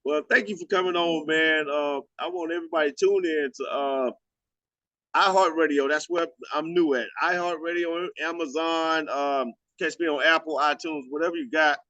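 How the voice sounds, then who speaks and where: A man's voice: a little high, steady tone, moderate pace, sharp tone, dry recording, highs cut off. A man in his forties hosting a radio show, chatting loosely, joking and swearing freely. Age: 40s. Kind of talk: casual interview chat.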